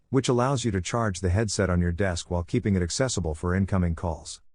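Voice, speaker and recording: Low-voiced man narrating product reviews. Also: darkish tone, dry recording, studio quality.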